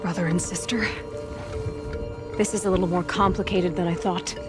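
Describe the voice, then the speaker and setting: An actress playing a young outlander huntress in a video game, dry, wry and determined. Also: female voice, on the low side, steady tone, moderate pace, over music, close to an everyday voice. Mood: dry, guarded. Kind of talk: video game dramatic dialogue.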